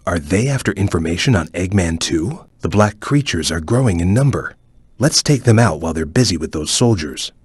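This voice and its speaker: A man playing a calm, serious ninja chameleon in a video game, speaking in a measured, stoic and focused way with a low, husky voice. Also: no room sound. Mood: serious, watchful. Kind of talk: video game voice lines, hints and tutorial instructions.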